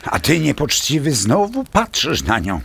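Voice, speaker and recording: Radio drama: gruff old countryman, heavily creaky, animated pitch, weary scolding. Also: measured pace, exaggerated voice. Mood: chiding. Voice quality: gravelly, husky. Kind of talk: illustrated radio drama acting.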